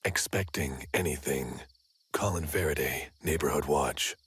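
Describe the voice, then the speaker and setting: An actor playing a paranoid, self-important neighborhood watch man in a video game, raspy, terse and suspicious. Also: unhurried, a bit bright, no echo, studio quality, exaggerated voice. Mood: suspicious, guarded. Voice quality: very deep gravelly rasp.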